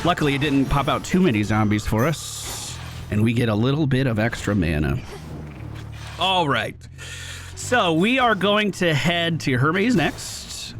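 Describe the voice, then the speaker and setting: Man, casual gameplay commentary, lively up-and-down voice, fairly high, game music behind. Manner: chatty, swears and laughs while playing. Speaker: gaming video creator.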